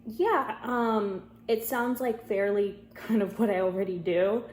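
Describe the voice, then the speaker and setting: A woman's voice: medium pitch, a little slow, a little mellow. Hesitant woman in an echoey room. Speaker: job candidate. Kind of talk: conversational phone job interview. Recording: echoey room, faint background noise.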